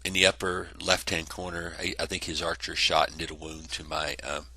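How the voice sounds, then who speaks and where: A man's voice: deep voice, flat delivery, a little fast, sharp tone, slightly rough, no echo. A congested man casually narrating a tabletop wargame battle report, rambling with many fillers. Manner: rambling, self-correcting, lots of fillers.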